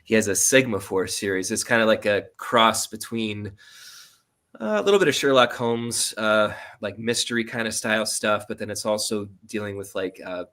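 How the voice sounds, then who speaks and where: Male interview guest. Crisp bright tone, lowish pitch, informal, thinks out loud. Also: normal speed. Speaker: guest writer and sound designer. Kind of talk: casual interview chat.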